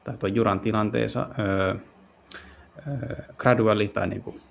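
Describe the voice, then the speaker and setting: Matala, nariseva miesääni, hapuileva pelistriimaaja. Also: male voice, lowish voice, steady tone, gravelly. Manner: halting, searching for words. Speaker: streamer playing and translating visual novels. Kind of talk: casual let's play with live translation.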